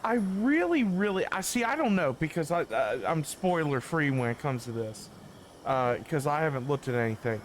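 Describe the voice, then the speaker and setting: Lively rambling male game streamer. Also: on the high side, slight background noise. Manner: rambling, halting, repeats words. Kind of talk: casual live stream commentary. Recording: game music behind the voice.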